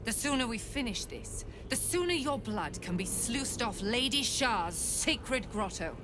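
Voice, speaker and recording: A woman playing a vengeful, contemptuous cult leader in a fantasy game, with a menacing, drawn-out, disdainful delivery. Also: on the high side, big pitch swings, over music. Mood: menacing contempt. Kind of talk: dramatic fantasy game acting.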